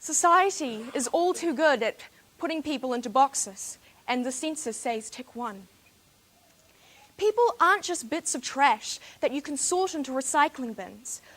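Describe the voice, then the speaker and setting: Teen girl, kiwi accent, high voice, big dramatic speech delivery. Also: animated, measured pace, fairly bright tone. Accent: New Zealand. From New Zealand. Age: teenager. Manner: rhetorical, dramatic emphasis. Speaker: speech contestant. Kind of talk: formal competition speech.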